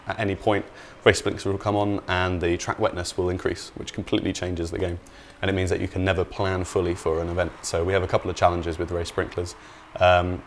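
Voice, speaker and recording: Male developer interviewee with a low voice, informal and conversational, explaining features in loose, hedged phrases. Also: slight background noise. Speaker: video game designer being interviewed.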